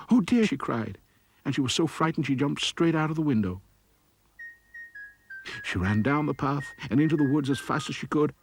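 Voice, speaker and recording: A man narrating scripted fairy tales for children in an expressive, dramatic way. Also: a little high. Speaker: storyteller, narrator.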